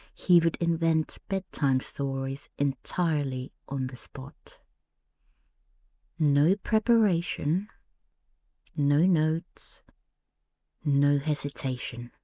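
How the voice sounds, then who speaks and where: A woman from Finland with a Finnish accent, hosting a soft-spoken bedtime podcast and telling personal stories in a gentle, hushed way. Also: low-pitched, no echo. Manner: slow, hushed, gentle delivery. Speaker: podcast host.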